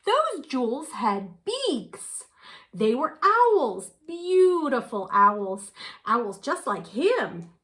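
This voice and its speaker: Female children's story reader: higher-pitched, unhurried, very expressive delivery. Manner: animated, unhurried, dramatic emphasis. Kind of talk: expressive picture-book read-aloud.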